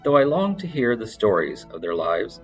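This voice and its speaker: A man reading literary fiction aloud in a measured, expressive storytelling style, like an audiobook narrator. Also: mid-range voice, faint music behind.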